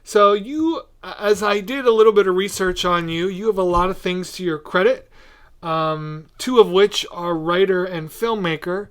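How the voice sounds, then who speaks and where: A man hosting a podcast in a casual, informal and excitable way. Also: high voice, normal speed, clean tone. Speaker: podcast host.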